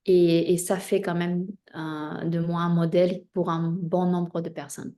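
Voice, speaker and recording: A young woman, raised in Spain with Spanish as her mother tongue, talks about herself as a guest in an interview. Her voice sits a little on the low side, and she speaks in a measured way. She often pauses between phrases as she gathers her thoughts. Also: level tone, mellow. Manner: measured, with frequent hesitations. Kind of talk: self-introduction in an interview.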